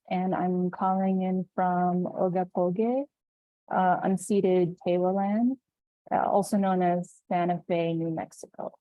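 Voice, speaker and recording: A woman from Kuwait gives a talk over a video call, speaking slowly and softly with frequent fillers. Her voice sits fairly low. The audio is thin and phone-like, with a dry, close sound. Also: dry recording. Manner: soft-spoken, reflective, with frequent fillers. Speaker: invited speaker. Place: home in New Mexico.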